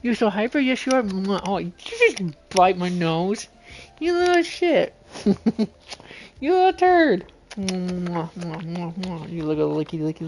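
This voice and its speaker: A woman's voice: on the low side, slight background noise. A woman game streamer chatting casually and playfully on a livestream.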